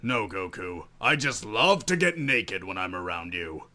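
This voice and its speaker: A man voicing a grumpy, sarcastic green alien warrior in a fan parody cartoon dub, with a gravelly voice and an exasperated manner. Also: low-pitched, big pitch swings, unhurried, sharp tone, a little hiss or hum, heavily acted. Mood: deadpan, sarcastic.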